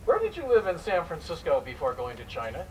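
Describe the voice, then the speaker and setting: A stern period immigration official played by a man, high in pitch with big swings in tone. He is formal, suspicious and accusatory, as in a staged reading of a hearing. The sound is distant, with clear noise over it. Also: wildly swooping pitch, brisk. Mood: suspicious, curt. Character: stern, suspicious male immigration interrogator of the 1910s. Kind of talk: dramatic reading of interrogation transcript. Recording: distant microphone in noisy live room.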